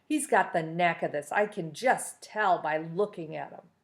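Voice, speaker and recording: A woman's voice: lowish voice, a little slow. A woman doing a sly nimble trickster man. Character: sly nimble male trickster, middle-aged.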